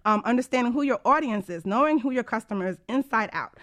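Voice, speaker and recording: A woman's voice: a little high, animated, fast talker, a bit bright. A woman hosting a casual talk radio show as a coach, chatty, informal and emphatic.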